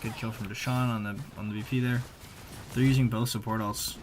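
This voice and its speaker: A man giving casual, slangy voice-over commentary on gameplay, conversational with animated reactions. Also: fairly low pitch, brisk, background noise. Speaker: gaming video creator.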